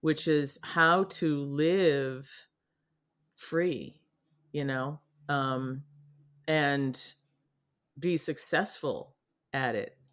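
A woman's voice: low-pitched, drawn-out words, long pauses, fairly bright tone. A middle-aged woman from New England with a faint Boston accent, a guest on a video-call interview, talking animatedly with frequent laughs and restarts. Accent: Boston, mostly lost. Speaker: guest artist. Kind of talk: casual interview chat. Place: home studio in front of her paintings.

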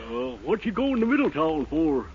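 An actor playing a dawdling, daffy, chatty rural station agent in a 1940s radio comedy. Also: male voice, fairly high pitch, big pitch swings, moderate pace. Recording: noisy, dull 1940s radio recording.

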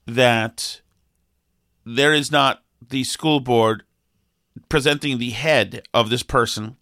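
A man's voice: a little high, pushed and bright, dry recording, studio quality. A man co-hosting an opinionated talk show, sniffly, rambling with lots of fillers and restarts before turning emphatic.

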